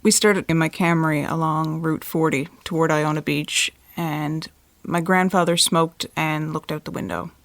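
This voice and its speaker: A woman telling her account in a slightly low voice, very close and dry. She goes slowly and haltingly, searching for words, like natural testimony in an audio drama. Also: steady tone, no echo. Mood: hesitant, recollecting. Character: grandchild recounting a night drive, giving testimony.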